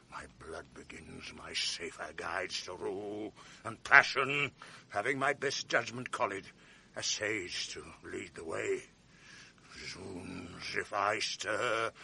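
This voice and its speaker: A proud, commanding general in a radio drama, declaiming his orders. He speaks slowly, in a tense, forceful voice. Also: medium pitch, gravelly, exaggerated voice. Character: commanding proud male general, quick to rage. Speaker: actor. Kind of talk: 1980s radio drama acting.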